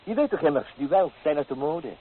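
Man playing a lively, mocking seducer, tinny recording. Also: fairly high pitch, a little fast, natural voice. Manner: mocking, smooth-talking. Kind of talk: radio play acting. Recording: old tinny, band-limited radio recording with hiss.

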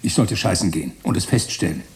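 A wry radio-play narrator who wanders off into coarse, ironic musings. The voice is low and dark, yet very mobile and conversational. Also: male voice, lively intonation, quick pace, gravelly. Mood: fussy, grumbling.

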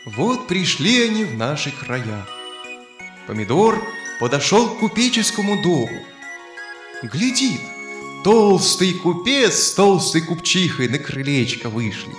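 A man narrating children's fairy tales as a storyteller, in an expressive scripted style. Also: fairly high pitch, unhurried, reverberant, music in the background.

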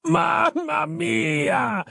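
A man's voice: high voice, wildly swooping pitch, a little slow, breathy edge, no echo, studio quality, pitched above the performer's own voice, exaggerated voice. A man voicing a hot-tempered, melodramatic Italian father who runs a restaurant, with an Italian accent, in an audiobook. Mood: wailing. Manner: melodramatic, exclamatory. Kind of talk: audiobook character voice.

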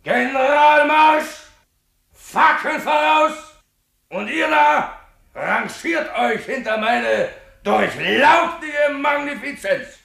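Ranting, creaky rebel captain. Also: male voice, very high-pitched, animated, slow, pushed and bright, heavily acted. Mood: bellowing, pompous. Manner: bellowing, pompous, menacing. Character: ranting, cruel, bombastic male rebel captain. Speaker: radio drama actor. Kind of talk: theatrical German radio play acting.

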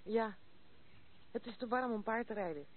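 A woman's voice: fairly high pitch, moderate pace, natural voice. An actress playing a proud, blunt, tomboyish young noblewoman in a Dutch radio play, frank and self-assured. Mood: candid, reminiscing. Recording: tinny, band-limited, noisy old radio recording.

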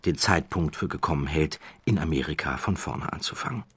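A male audiobook narrator with a low, husky voice tells a scripted story. His phrasing is deliberate and emphatic, with widely varied intonation, in a dry studio. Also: no room sound.

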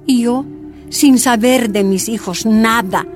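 Slow, mournful first-person memory, higher voice, guitar underneath. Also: female voice. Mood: sorrowful. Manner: slow, sorrowful recollection. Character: older woman leader looking back on her exile. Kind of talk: radio drama narration in first person.

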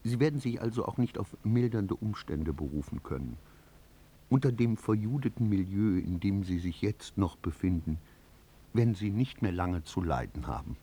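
Haughty, animated theatre tyrant. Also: male voice, sits low, moderate pace, breathy edge. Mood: cold menace. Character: arrogant, tyrannical theatre actor, sneering and cutting. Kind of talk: German radio drama acting.

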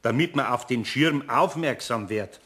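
Ein Schauspieler mit bayerischem Dialekt spielt in einem Hörspiel einen älteren, gutmütig brummelnden Handwerksmeister, nachsichtig und leicht genervt. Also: male voice, mid-range voice, unhurried, fairly bright tone, husky. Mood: grumbling, weary. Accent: Bavarian. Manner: homely, indulgent, mildly exasperated. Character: kindly, grumbling old master carpenter. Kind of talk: German radio play acting.

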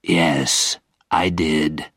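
A lonely old male ghost from a storybook, acted out by a man, weary and gentle. He speaks very low and slowly, with mournful formality and a husky grain. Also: steady tone, dry recording, studio quality, heavily acted. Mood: grave, resigned.

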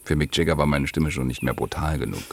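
An actor playing a wry, self-deprecating man in his thirties in a naturalistic radio play, with a gravelly voice and laconic manner. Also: a bass voice, fast, full character voice. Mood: wry, reminiscing. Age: 30s.

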